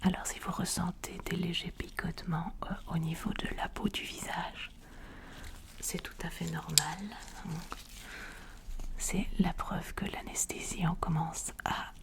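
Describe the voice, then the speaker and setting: A woman plays a calm, methodical female cosmetic surgeon in an ASMR medical roleplay. She speaks in a breathy whisper with a low, even melody, gently reassuring the patient as she goes.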